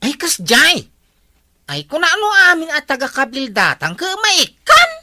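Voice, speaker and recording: A woman playing an excitable, hopeful villager in a comedy drama, with a Filipino accent. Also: sits high, normal speed, no room sound, clean studio sound, heavily acted. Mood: eager, fussing.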